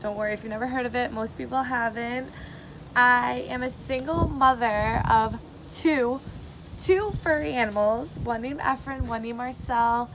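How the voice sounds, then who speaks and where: A woman's voice: fairly high pitch, moderate pace, noisy background. A bubbly 22-year-old woman, chatting eagerly to camera in a casual audition video. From Massachusetts, USA. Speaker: reality show applicant.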